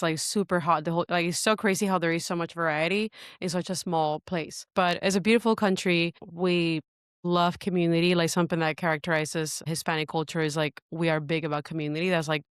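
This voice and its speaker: Venezuelan-accented woman guest, native Spanish speaker, smooth voice, dry close sound, earnest storytelling. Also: on the low side, dry recording. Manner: earnest, searching for words. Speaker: worship pastor. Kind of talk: podcast interview, personal story.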